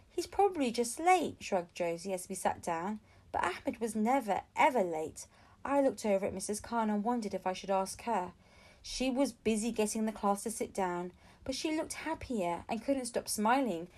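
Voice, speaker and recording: A woman reading a children's storybook aloud, narrating expressively as an audiobook reader. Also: on the high side. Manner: expressive storytelling with acted-out dialogue.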